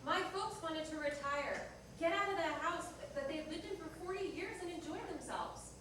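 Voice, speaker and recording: A woman's voice: extremely high pitch, normal speed. A woman acting a proud, indignant jilted fiancée in a staged play reading. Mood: defensive. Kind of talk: staged reader's theater dialogue. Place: live staged reading in a reverberant room. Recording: echoey, noisy room, distant mic.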